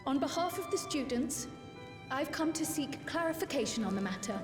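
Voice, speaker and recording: A young scholar-envoy speaks in a high voice, earnest and articulate. She is formal and polite yet determined, a game cutscene heroine ringing through a long, echoing hall with music behind her. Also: animated, normal speed. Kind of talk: video game cutscene acting.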